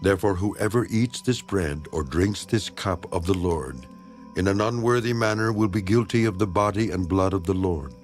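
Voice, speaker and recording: Low male voice doing dramatized bible narration, solemn and measured, music behind. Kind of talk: dramatized scripture reading. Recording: voice over background music.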